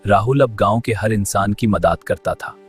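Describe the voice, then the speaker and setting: A man's voice: on the low side, moderate pace, slightly rough, faint music behind. A man giving scripted narration for a cartoon moral story.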